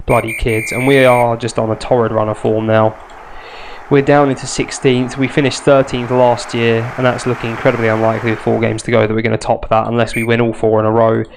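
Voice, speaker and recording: A young man commentates on his game in a nasal, congested voice, clearly bunged up with a cold. His tone is casual and wry, full of self-mocking asides. The voice sits in a middle pitch with a gravelly edge, over a faint hiss. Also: level tone, fairly quick. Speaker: gaming youtuber. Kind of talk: casual let's play commentary.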